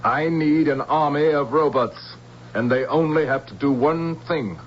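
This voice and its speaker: An actor playing a stern, authoritarian general with a curt manner in a radio drama. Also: male voice, on the high side, measured pace, dull audio, natural voice. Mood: brisk, commanding. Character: stern, overbearing male general. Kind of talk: 1970s radio drama acting. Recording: slightly noisy, band-limited old radio.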